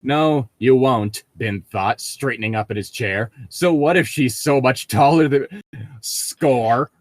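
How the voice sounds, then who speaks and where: A man's voice: medium pitch, takes it slowly, dry recording. A man chatting casually and jokingly with friends, prone to breaking into laughter. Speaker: co-host reading a book aloud with friends. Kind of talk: casual joking group chat.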